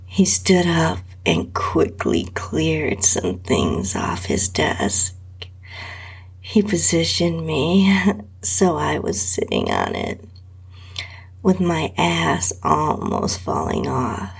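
Very slow, breathy woman narrator. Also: low-pitched, huge pitch swings. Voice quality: breathy, often dropping to a whisper. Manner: sultry, lingering. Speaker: podcast host reading own story. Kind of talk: slow, intimate erotica narration in the first person.

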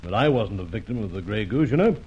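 An actor playing a haughty, defensive, shady art dealer in an old radio drama, pompous and clipped. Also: male voice, lowish voice, expressive, quick pace, darkish tone, breathy edge. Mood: curt, guarded. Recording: muffled, noisy old radio drama recording.

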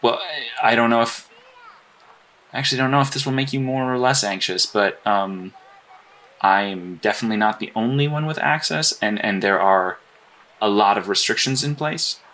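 A man playing an earnest, apologetic and socially awkward hero agent in a naturalistic audio drama, with halting, stammering speech. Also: fairly low pitch, fairly bright tone, natural voice. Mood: awkward, candid.

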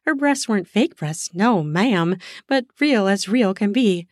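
A woman narrating an erotic audio story in a slow, sensual way, lingering on words for emphasis. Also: mid-range voice, lively intonation, darkish tone, no echo, studio quality. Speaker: storyteller, podcast host.

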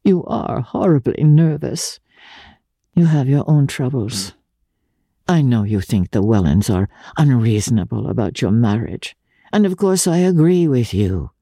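A woman voicing a worldly, melancholy young countess, deliberate and gently ironic, in a dramatic reading. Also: extremely low pitch, expressive, breathy edge, dry recording, clean studio sound, full character voice. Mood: gentle irony.

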